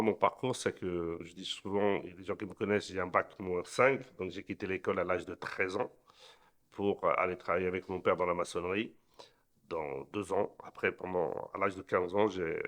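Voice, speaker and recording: Deep-voiced middle-aged man. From Portugal. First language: Portuguese. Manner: earnest, repeats words and restarts often. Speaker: interview guest, entrepreneur. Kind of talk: casual interview answers.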